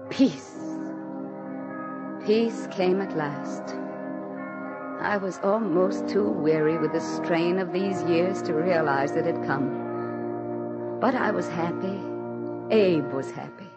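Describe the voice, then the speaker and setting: An actress playing an aging, weary, grieving presidential widow in theatrical, emotional 1940s radio drama style. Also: female voice, on the low side, over loud music. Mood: weary relief. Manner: theatrical, emotional, drawn-out phrasing. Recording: dull vintage 1940s radio recording.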